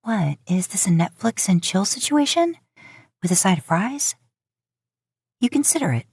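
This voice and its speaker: A woman's voice: medium pitch, big pitch swings, normal speed, darkish tone, slightly rough, no echo. A woman narrating scripted fiction as an audiobook reader, recorded close up.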